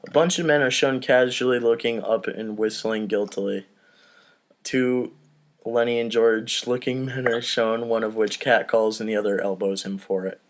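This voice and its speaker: A man's voice: mid-range voice, fairly even intonation, moderate pace. A man narrating script directions on a comedy podcast, slipping into casual asides. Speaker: podcast host reading stage directions.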